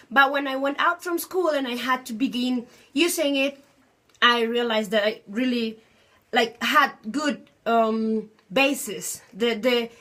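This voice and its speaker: A woman in her twenties with a Colombian accent, a native Spanish speaker who teaches English, speaking thoughtfully and pausing to search for words. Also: a little high, a bit bright. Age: 20s. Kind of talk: personal talk on language learning.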